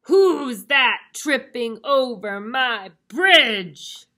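A woman voicing a mean storybook troll with a loud, menacing, drawn-out roar. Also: a little high, lively intonation, pitched above the performer's own voice, heavily acted. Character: mean, roaring troll under a bridge.

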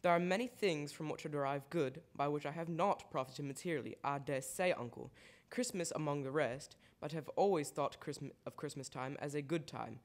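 An actor as a genial young-hearted nephew in a radio play. His fairly high voice declaims with earnest, formal goodwill. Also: moderate pace, lightly acted. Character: cheerful, good-hearted nephew, earnest gentleman. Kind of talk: live staged reading of 1930s radio drama. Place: theatre stage, live performance.

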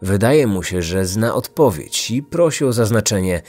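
A man's voice: fairly low pitch, expressive, normal speed, a little mellow, no room sound, faint music behind. A man narrating a scripted true-crime story as a podcast host.

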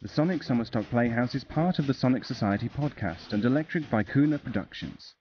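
A man with a mid-pitched voice reads a scripted announcement for an audio drama podcast. The recording is a little muffled, with faint noise behind him. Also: animated, fairly quick. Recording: slightly dull with faint noise.

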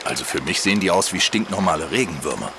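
A man's voice: low-pitched, animated, fast talker. A man playing a sceptical, worried colleague in an audio drama, chiding and exclaiming. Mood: sceptical teasing. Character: sceptical, worried male colleague on a fishing trip. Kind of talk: German audio drama acting. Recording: clear outdoor ambience noise behind.

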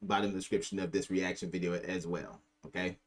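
Low-voiced middle-aged man, casual. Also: flat delivery, fast. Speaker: reaction video host. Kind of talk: casual commentary. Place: small room indoors.

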